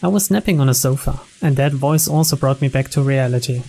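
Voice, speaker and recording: A man's voice: mid-range voice, a little fast, creaky, dark tone. A man reading a mystery story aloud as narrator, in a scripted narration style.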